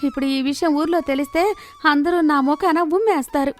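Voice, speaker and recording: Jealous older village matriarch, played by a woman, high voice, exclamatory and aggrieved, for a moral story cartoon. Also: a little fast, soft background music, lightly acted. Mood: wailing despair. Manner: wailing, exclamatory complaining. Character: jealous, loud, self-pitying older village mother-in-law. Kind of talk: animated moral story voice acting.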